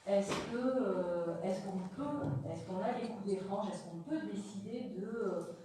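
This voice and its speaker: A woman's voice: medium pitch, mellow. A woman moderating a round table, hesitant and full of restarts. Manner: halting, many restarts. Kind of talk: moderating a panel. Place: conference room. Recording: echoey hall from far off, audible noise.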